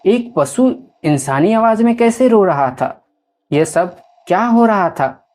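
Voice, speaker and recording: A woman's voice: medium pitch, animated, takes it slowly, a little mellow. A woman storyteller reading scripted horror story narration. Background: music.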